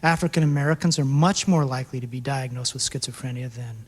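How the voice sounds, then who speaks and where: A man's voice: mid-range voice, fast. A man answering audience questions at a public talk, thinking aloud with many fillers. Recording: reverberant hall.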